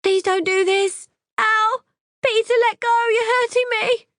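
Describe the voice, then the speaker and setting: A woman's voice: moderate pace, no echo, studio quality. A woman reading a desperate sobbing young woman. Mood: desperate pleading. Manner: shrill, high, sobbing pleas.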